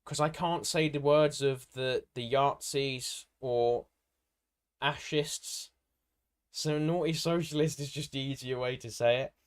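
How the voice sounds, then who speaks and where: Male live stream host, medium pitch, informal and rambling, jumping from one viewer message to the next. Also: steady tone, a little slow, clear, resonant tone. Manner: rambling, hopping between comments, informal and matey. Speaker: livestream host and content creator. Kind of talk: casual live stream chat, answering viewer comments.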